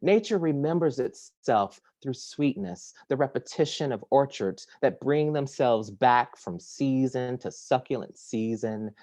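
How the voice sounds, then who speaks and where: A man reading poems aloud at a virtual poetry reading from his home, measured and deliberate, introducing each piece with hesitant fillers. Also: mid-range voice, a little mellow. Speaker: poet reading at a virtual series. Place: home kitchen or similar domestic room. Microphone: video call.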